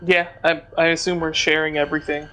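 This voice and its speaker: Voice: medium pitch, flat delivery, brisk, pushed and bright. A tabletop roleplaying game player chatting casually at the game.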